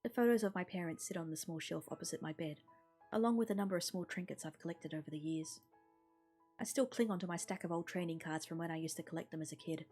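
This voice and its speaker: Husky-voiced woman streaming a game read-through. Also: fairly low pitch, darkish tone. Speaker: streamer playing a visual novel. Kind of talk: let's play, reading game text aloud with casual asides. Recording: music playing behind the voice. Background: game music.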